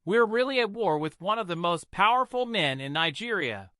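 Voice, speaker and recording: An anxious, pleading young daughter, performed by a male storyteller in a folktale. The earnest voice sits high and bright, rising and falling freely. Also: animated, normal speed, no echo, studio quality. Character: frightened, pleading young daughter of blind woman. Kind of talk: dramatised folktale narration.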